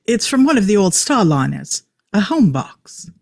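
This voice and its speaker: A man's voice: high-pitched, sing-song, moderate pace, gravelly, dry recording, clean studio sound, exaggerated voice. A man acting an eccentric, opinionated time-traveller in a script table read, with clipped, emphatic delivery. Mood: fascinated, explaining. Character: eccentric, opinionated male time-traveller, a know-it-all enthusiast.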